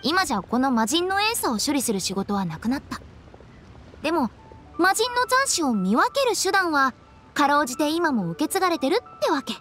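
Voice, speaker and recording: Playful high-pitched young woman. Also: big pitch swings, normal speed, fairly bright tone. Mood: breezy storytelling. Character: playful, quirky young woman running a funeral parlor. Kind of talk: Japanese anime game voice acting. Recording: faint music behind the voice.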